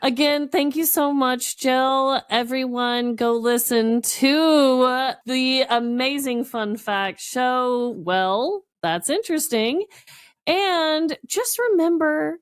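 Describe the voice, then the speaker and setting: A woman's voice: high voice, slow pace, clear, resonant tone. A woman co-hosting a podcast in casual, conversational chat. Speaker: podcast co-host.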